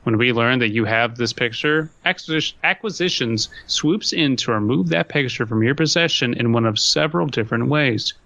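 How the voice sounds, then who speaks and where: A man's voice: mid-range voice, moderate pace, gravelly, few pauses, bright, forceful tone, no echo. A man hosting a comedy podcast, reading aloud and bantering casually with a sardonic streak. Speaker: podcast host.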